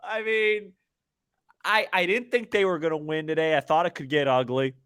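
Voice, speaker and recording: Higher-pitched man, emphatic sports podcast co-host. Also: fairly even intonation, normal speed, fairly bright tone, clean tone, no echo, studio quality. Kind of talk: casual sports commentary with banter.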